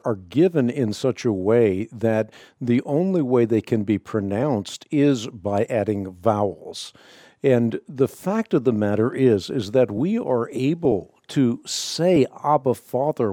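A man's voice: mid-range voice, mellow, dry recording, studio quality. A middle-aged man, a native Dutch speaker, hosting a radio call-in show and answering Bible questions in a precise, lecturing, emphatic way. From Netherlands.

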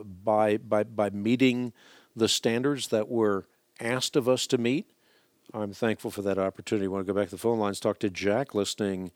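A man who speaks Dutch as his first language, hosting a call-in radio show and answering listeners' questions in a measured, deliberate way with frequent hesitations. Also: on the low side, darkish tone, dry recording, clean studio sound. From Netherlands.

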